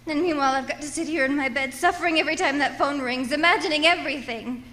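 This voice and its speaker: Radio thriller casting: neurotic, imperious invalid wife, shrill and bright, complaining and overwrought. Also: female voice, fast. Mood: indignant, scornful. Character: nervous, demanding, self-pitying bedridden invalid wife, increasingly hysterical. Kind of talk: radio thriller play acting.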